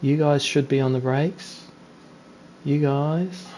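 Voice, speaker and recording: A man's voice: on the high side, steady tone, takes it slowly, a little mellow, poor audio. Kiwi guy streaming a race on a headset, chill and chatty, ribbing the other drivers, faint engine hum behind. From New Zealand. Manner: chatty, laid-back, teasing other drivers. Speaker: streamer racing online. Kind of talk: casual live-stream commentary and chat. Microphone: gaming headset.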